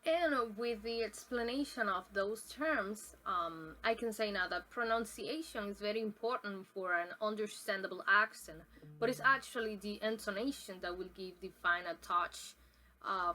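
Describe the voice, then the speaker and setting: Halting young woman presenting a lesson. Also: medium pitch, bright, forceful tone, a little hiss or hum, poor audio. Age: young adult. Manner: halting, with many ums and uhs and restarts. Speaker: student presenter. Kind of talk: classroom presentation on pronunciation.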